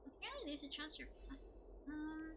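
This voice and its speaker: A woman's voice: high voice, lively intonation, measured pace, heavy background noise. A giggly, rambling woman chatting casually as she livestreams a video game. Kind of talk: casual gameplay chat and commentary. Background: game music.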